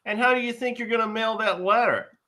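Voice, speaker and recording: A man's voice: sits high, fairly quick, pushed and bright. A man voicing a bossy, scornful young cartoon girl in a scolding, blunt manner at a live table read. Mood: dismissive.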